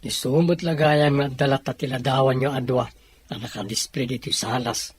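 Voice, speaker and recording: A man's voice: medium pitch, fairly even intonation, normal speed, husky, close to an everyday voice. A man playing a remorseful younger brother, a reformed gambler, in an Ilocano audio drama.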